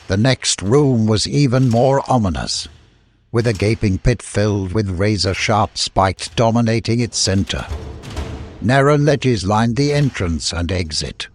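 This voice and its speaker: Low-voiced man narrating an adventure story. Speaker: audiobook narrator. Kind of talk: dramatic scripted story narration.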